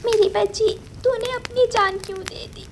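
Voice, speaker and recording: A woman voicing a distraught mother bird in a melodramatic cartoon, pleading in drawn-out wails. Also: very high-pitched, steady tone, slight background noise, voice pushed up. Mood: grief-stricken. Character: distraught mother bird grieving for her brave daughter. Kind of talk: melodramatic Hindi cartoon acting.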